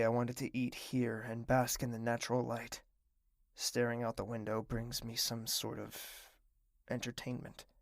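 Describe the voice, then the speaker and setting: A man voicing a brooding, lonely young royal, narrating his thoughts in first person in an audio drama, quiet and sometimes whispering. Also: mid-range voice, gravelly, no echo, studio quality.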